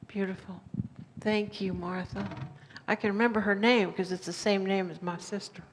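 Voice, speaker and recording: A woman minister guiding her congregation through a sharing exercise, speaking in a gentle, encouraging way. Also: mid-range voice, steady tone, normal speed, breathy edge, poor audio. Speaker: minister leading a church service. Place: church sanctuary.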